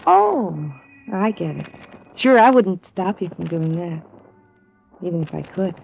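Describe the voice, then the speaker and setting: An American actress playing a guarded, plain-spoken young roommate in a 1950s radio drama, hesitant and colloquial. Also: female voice, lowish voice, big pitch swings, unhurried, creaky, faint music behind, heavily acted. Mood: hesitant, uneasy. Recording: dull old radio recording.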